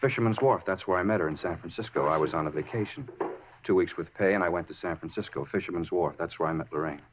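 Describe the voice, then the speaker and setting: A man playing a troubled young man with a low voice, guarded and evasive. His words come quickly, with nervous hesitations and repetitions. The sound is a dull old 1950s radio drama recording. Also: background noise, natural voice. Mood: distracted, rambling. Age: young adult.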